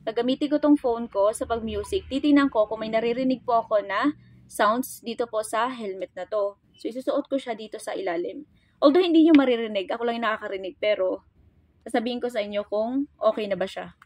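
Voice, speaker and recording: Higher-voiced Filipino woman, casual tutorial vlogger. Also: a little fast, a little mellow. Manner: chatty, informal, addressing viewers directly. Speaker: vlogger and motorcycle gear seller demonstrating products.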